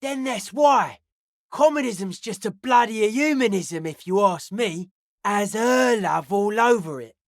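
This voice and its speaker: A man's voice: sits high, animated, measured pace, no room sound. An intense young male raver and carpenter for a video game. He talks in blunt, clipped pronouncements, half street slang and half philosophy. The recording is close and dry. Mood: dogmatic, dismissive. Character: Noid, intense young male anodic-dance-music zealot and carpenter. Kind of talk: video game character voice acting.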